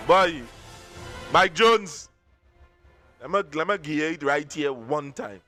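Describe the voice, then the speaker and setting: A Trinidadian man rants about politics on a live stream in a very high, bright, sharp voice. He scolds his listeners in local dialect and keeps repeating himself for emphasis. Faint music sits behind him.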